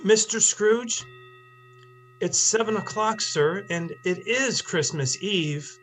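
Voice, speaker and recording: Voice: medium pitch, animated, slow, fairly bright tone, soft background music. An actor playing a timid, deferential male clerk, hesitant and polite, in a theatrical reading. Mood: meek, nervous.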